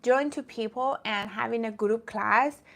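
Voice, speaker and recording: A woman's voice: on the high side, unhurried, gravelly, a bit bright. A woman from Iran who streams about fitness, chatting casually with viewers in a rambling way and often breaking off to greet commenters.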